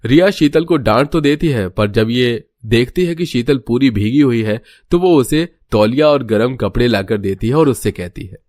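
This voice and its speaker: A man's voice: medium pitch, lively intonation, moderate pace, hardly any pauses, darkish tone, no echo. A man narrating a scripted cartoon story as its storyteller.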